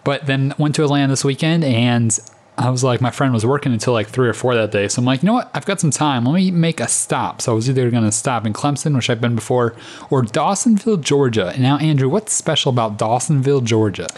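A man's voice: mid-range voice, lively intonation, fast. A young man co-hosting a podcast, telling stories in casual chat. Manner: chatty, tells stories. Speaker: podcast co-host.

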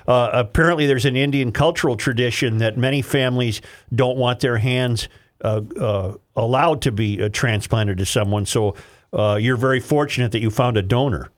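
A man hosting a radio show, interviewing a caller with mock-serious calm and short affirmations. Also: medium pitch, normal speed. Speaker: radio host. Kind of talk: deadpan comedy interview.

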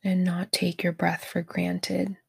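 Slow, breathy woman guiding meditation. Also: fairly low pitch, completely flat delivery. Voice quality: soft, breathy, often near a whisper. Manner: gentle, unhurried, encouraging. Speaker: meditation guide, podcast host. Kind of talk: guided meditation, soothing address to listener.

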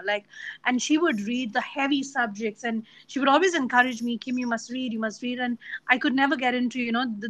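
A woman's voice: on the high side, pushed and bright, poor audio. A woman hosting a casual interview, talking enthusiastically and affirming her guest, with many fillers like "you know". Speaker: podcast host.

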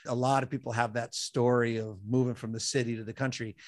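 A man's voice: mid-range voice, flat delivery, brisk, no room sound, clean studio sound. A man from Colorado, a guest on a podcast, telling his story in a casual, easygoing way. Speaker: podcast guest, music producer. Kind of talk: casual interview chat.

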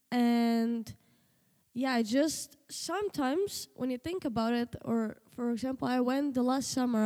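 A woman's voice: fairly high pitch, mellow. Giggly teenage girl in a long-reverb room. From Slovenia. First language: Slovenian. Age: teens. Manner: hesitant, many fillers and restarts, giggly. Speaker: guest, host's daughter. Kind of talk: casual interview chat.

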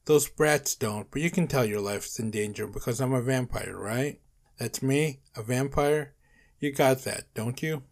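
A man's voice: mid-range voice, unhurried, creaky. A man voicing a frustrated vampire monster in a story, complaining in an exasperated way. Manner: exasperated, shouting his complaints.

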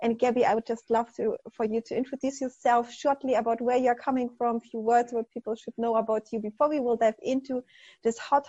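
A woman's voice: fairly high pitch, warm tone, muffled recording. A woman hosting a podcast interview, talking in an effusive, enthusiastic motivational way. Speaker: podcast host.

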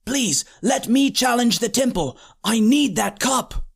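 A man's voice: very high-pitched, lively intonation, unhurried, creaky, husky, exaggerated voice. A man voicing an eager, impatient young boy in an audiobook, whiny and insistent. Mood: desperate pleading. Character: eager impatient tiny schoolboy, pleading and stubborn. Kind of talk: audiobook character acting.

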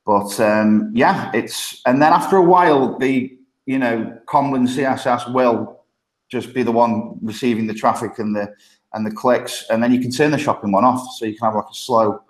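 A man's voice: medium pitch, moderate pace, echoey room, low-quality recording. Chatty man with a Midlands accent. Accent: English Midlands. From Stoke-on-Trent, England. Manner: chatty, laughs often, many ums and restarts. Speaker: guest, agency partnership manager. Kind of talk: casual podcast interview.

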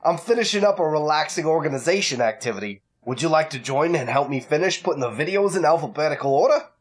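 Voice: mid-range voice, animated, quick pace, close to an everyday voice. A performer voicing a round, cheerful felt video store owner in a comedic table read, with eager shopkeeper patter. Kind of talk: comedic table read of a kids' show script.

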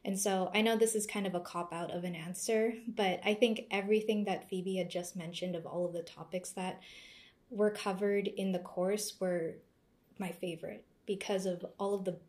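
A woman's voice: mid-range voice, steady tone, a little mellow. Reflective young woman. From Canada. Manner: thoughtful, reflective, with searching pauses. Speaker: interview guest, former seminar student. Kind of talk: casual conversational interview.

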